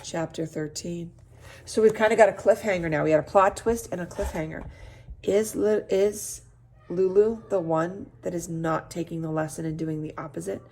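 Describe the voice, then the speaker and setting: A woman teacher with a slightly low voice reads a children's book aloud. She is expressive and chatty, often checking in with her young listeners through questions. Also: moderate pace, a little hiss or hum. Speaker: teacher reading aloud to a class.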